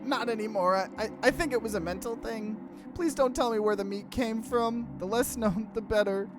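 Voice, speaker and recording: A man's voice: high-pitched, normal speed, music in the background, voice pushed up, close to an everyday voice. A man voicing a timid, queasy, polite young man in a horror visual novel, hesitant and stammering. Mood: timid, relieved.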